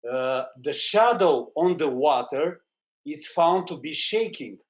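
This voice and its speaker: A man with a Romanian accent reads a spiritual passage aloud in English, slowly and haltingly, with pauses between phrases. His voice sits somewhat high for a man. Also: animated. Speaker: satsang participant.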